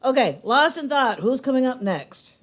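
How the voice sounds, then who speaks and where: Female emcee, low voice, lively and loud, small coffee shop venue. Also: moderate pace. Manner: lively, shouting introductions. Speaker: open mic emcee. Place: coffee shop open mic.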